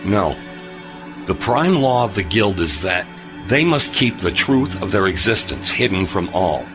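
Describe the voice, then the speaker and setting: A man playing a wry, composed spacefarer who speaks gravely and knowingly in a sci-fi audio drama. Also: low voice, very animated, measured pace, music in the background. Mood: grim.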